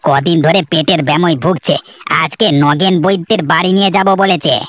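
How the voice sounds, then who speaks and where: A woman's voice: fairly low pitch, fairly quick, lightly acted. A woman voicing a friendly young male village fisherman in a cartoon, chatty and familiar in manner. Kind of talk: Bengali cartoon voice acting. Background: faint music.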